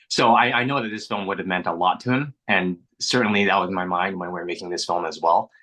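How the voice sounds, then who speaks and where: Low-voiced man, bright earnest tone. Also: brisk. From Canada. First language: Mandarin. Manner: reflective, earnest, frequent restarts and repeated words. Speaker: actor being interviewed. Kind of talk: casual interview chat.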